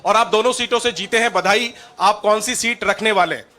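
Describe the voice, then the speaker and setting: A man's voice: high-pitched, fairly quick, pushed and bright. A man working as a reporter, putting questions at a press conference in an insistent, repetitive way. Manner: insistent, repeating himself to get through.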